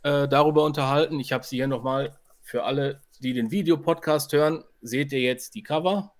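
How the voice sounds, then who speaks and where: A man's voice: medium pitch, brisk. Male podcast co-host with a middle-register voice, talking informally and conversationally. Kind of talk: casual chat.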